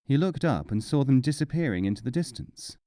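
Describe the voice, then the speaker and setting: A man reads humorous folk tales for an audiobook in a measured, expressive way. His voice is mellow and moves freely in pitch, recorded very dry and close. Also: dry recording. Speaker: audiobook narrator. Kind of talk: scripted storybook narration of humorous folk tales.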